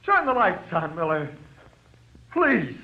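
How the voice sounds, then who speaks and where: A man's voice: high voice, very animated, slow pace, vocal fry, slightly rough, heavily acted. An actor playing a frightened jailed gangster in an old-time radio crime drama, with slangy, ungrammatical tough-guy talk that cracks into pleading, on a muffled old recording. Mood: panicked, pleading. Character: frightened, panicky jailed gangster, afraid of the dark.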